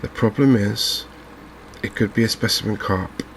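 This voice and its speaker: A soft-spoken man giving unhurried ASMR commentary on a video game. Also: fairly low pitch, creaky, a little hiss or hum. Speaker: gaming video host.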